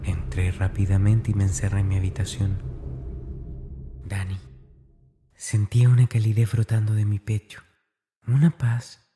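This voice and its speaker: A man playing a tormented, brooding young man in a confessional horror audio drama monologue, intense and breathy. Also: fairly low pitch, flat delivery, unhurried, long pauses, dark tone, close to an everyday voice. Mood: haunted, dazed. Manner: intense, breathy, often dropping toward a whisper.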